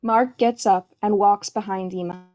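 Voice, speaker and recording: A woman's voice: mid-range voice, fairly even intonation, unhurried, warm tone. A woman giving scripted narration, reading the stage directions of a play.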